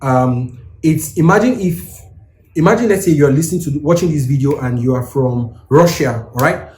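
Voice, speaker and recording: A man's voice: fairly high pitch, moderate pace, darkish tone, echoey room. A man from Nigeria, co-hosting and giving earnest, instructive advice to couples. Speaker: co-host. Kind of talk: advice talk.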